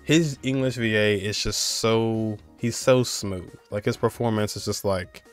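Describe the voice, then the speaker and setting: Low-voiced young man chatting casually. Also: measured pace, soft background music. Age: young adult. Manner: rambling, conversational. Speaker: host reacting to a game trailer. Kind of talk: casual reaction commentary.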